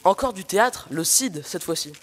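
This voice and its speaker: A man's voice: sits high, lively intonation, normal speed, fairly bright tone, breathy edge, exaggerated voice. A teenage man playing a pompous, scornful old village priest who grumbles and declaims. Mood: bossy. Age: teenager, high-school student. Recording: reverberant room, noisy background.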